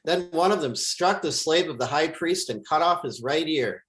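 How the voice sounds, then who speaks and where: A man reads scripture as a pastor would, in a solemn, measured and deliberate liturgical style. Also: fairly high pitch, fairly bright tone, low-quality recording.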